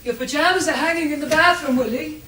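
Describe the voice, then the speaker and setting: An actress playing a devoted older wife and mother, gently and anxiously coaxing, in a stage drama style. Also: mid-range voice, lively intonation, a little fast, close to an everyday voice. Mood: pleading. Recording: clearly noisy recording with clicks.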